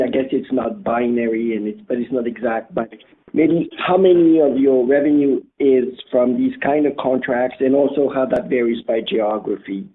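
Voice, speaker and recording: A man calling in to put questions to company management on an earnings call. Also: mid-range voice. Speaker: caller asking questions. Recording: noisy, muffled phone-like call line.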